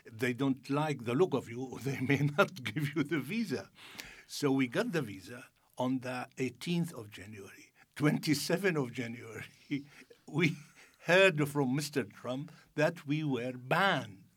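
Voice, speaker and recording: Husky guy on a panel, chuckles a lot, stops and restarts. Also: medium pitch, lively intonation, a bit bright. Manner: chuckling, halting storyteller. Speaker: panel guest. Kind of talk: studio discussion.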